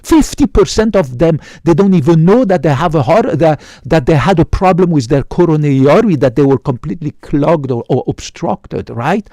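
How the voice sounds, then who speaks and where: A man's voice: a little high, expressive, brisk, hardly any pauses, mellow, dry recording. A middle-aged man, a physician, lecturing viewers to camera in an emphatic way, often tagging lines with "right?". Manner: emphatic, keeps asking "right?"; repeats words and restarts. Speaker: physician giving health advice.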